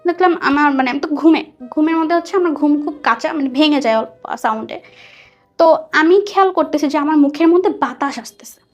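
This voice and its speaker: A woman's voice: high-pitched, fairly quick, highs cut off. A young woman with a Bangladeshi accent telling a personal story conversationally as a guest, acting out what people said. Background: background music.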